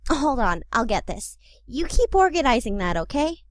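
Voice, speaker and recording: A woman voicing an orderly, brisk, bookish young female cartoon pony in an audio drama. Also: on the high side, sing-song, dry recording. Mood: brisk, patient.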